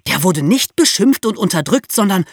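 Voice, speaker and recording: A history podcast co-host speaks with very animated, swinging intonation. The delivery is conversational and explanatory, with playfully ironic emphasis. The sound is dry and free of room echo. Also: mid-range voice, normal speed, slightly rough.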